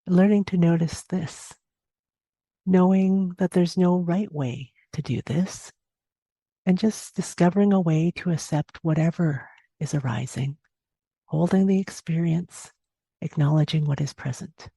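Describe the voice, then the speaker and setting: A woman guiding a meditation as a teacher, giving gentle, unhurried instructions with long pauses between phrases. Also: lowish voice, breathy edge, no echo. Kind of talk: guided body scan meditation narration.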